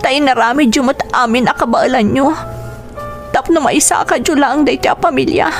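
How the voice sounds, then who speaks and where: A woman's voice: sits high, normal speed, soft background music. A woman acting a bitter, resolute young eldest daughter in a Filipino radio drama, emotional and earnest, with a Filipino accent. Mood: tearful resolve.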